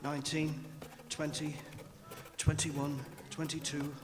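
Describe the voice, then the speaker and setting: An actor playing a timid, overworked clerk in a stage radio drama, deferential and hesitant. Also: male voice, fairly high pitch, monotone, slow, music in the background. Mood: absorbed, muttering. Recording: distant voice in reverberant theatre hall.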